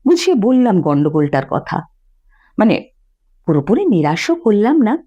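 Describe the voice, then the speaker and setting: A woman storyteller reading a short story aloud in an expressive narrating style. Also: medium pitch, normal speed, breathy edge, muffled recording. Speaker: storyteller, reader. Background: faint music.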